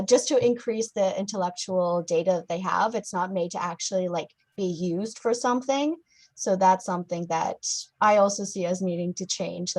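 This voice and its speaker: A woman's voice: fairly low pitch, darkish tone, rough recording. A young woman moderating a student Q&A, speaking informally with stumbling restarts. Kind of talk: casual moderating.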